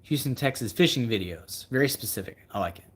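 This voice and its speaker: A male fishing creator talks casually to his livestream viewers in a husky, unpolished voice at a middle pitch. He rambles as he thinks things through out loud, and he often repeats a phrase to drive it home. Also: animated, brisk. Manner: rambling, thinking aloud, emphatic repetition. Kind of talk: casual livestream chat answering viewer comments.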